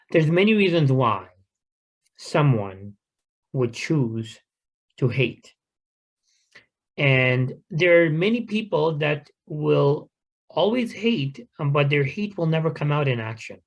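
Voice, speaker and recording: Man speaking earnestly and deliberately. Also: medium pitch, husky. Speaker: guest speaker at a community talk. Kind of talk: unscripted informational talk.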